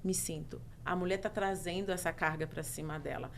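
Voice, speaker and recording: A middle-aged Brazilian woman with a Brazilian Portuguese accent, a podcast guest telling her own story in casual chat, expressive and emphatic, listing things in rhythmic runs. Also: fairly low pitch, a little fast, creaky, slight background noise.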